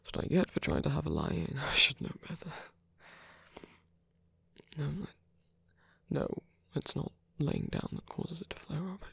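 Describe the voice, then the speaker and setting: A performer of unclear sex voicing a soft-spoken, weary, tender villain lover in a romantic ASMR roleplay, breathy and often whispery, with a hesitant, gently wry delivery. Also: medium pitch, big pitch swings, a little slow, long pauses, no room sound, heavily acted. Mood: apologetic, wry.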